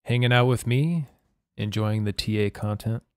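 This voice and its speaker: A young man, hoarse from a long session, chatting loosely with his live-stream viewers about the game and reading their chat. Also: on the low side, moderate pace, no echo, studio quality. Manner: laid-back, swears casually, reads chat aloud. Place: home room at night.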